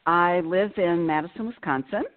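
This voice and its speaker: A woman from Illinois, calling in as an interview guest and speaking in an earnest, measured way. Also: on the low side. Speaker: guest, nurse. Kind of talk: phone-in interview. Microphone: phone call. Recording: tinny phone line.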